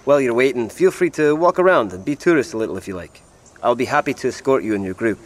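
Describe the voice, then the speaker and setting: An actor playing an easygoing male guard in an audio drama, helpful to newcomers. He talks quickly in a middle-pitched voice. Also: expressive, natural voice. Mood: helpful, informative.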